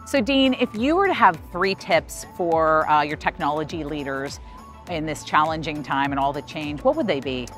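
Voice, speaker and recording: A woman's voice: deep voice, lively intonation, normal speed, faint music behind. A woman in her thirties hosting a walking interview in a park, eager and enthusiastic as she asks her questions. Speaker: host. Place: outdoors in a park.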